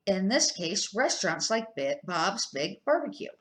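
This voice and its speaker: A woman's voice: mid-range voice, very animated, unhurried, a bit bright. An earnest, principled man who runs a food truck, voiced by an older woman reading a storybook. He explains things emphatically and stands firmly by his views. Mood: persuasive.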